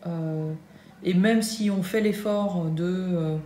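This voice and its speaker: Une femme française, la trentaine, qui parle de son travail en vivant aux États-Unis, avec beaucoup d'hésitations et de faux départs. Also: female voice, fairly low pitch, slow, dark tone. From France. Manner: hesitant, many fillers and restarts. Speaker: Nike employee in the US. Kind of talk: casual vlog chat. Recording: echoey room with faint hum.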